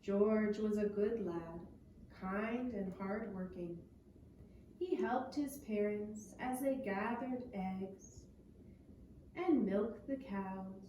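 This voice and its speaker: A woman narrating a storybook tale for young children as a puppet show storyteller, with measured, deliberate phrasing. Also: mid-range voice, mellow. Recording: echoey, noisy room, distant mic.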